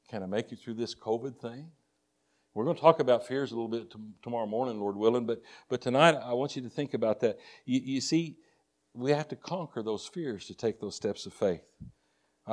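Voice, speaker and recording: An older man with a Texas drawl preaches to a congregation, weaving folksy personal stories into his sermon. He talks earnestly, often restarting and repeating words as he goes. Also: mid-range voice. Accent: Texan. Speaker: missionary preacher.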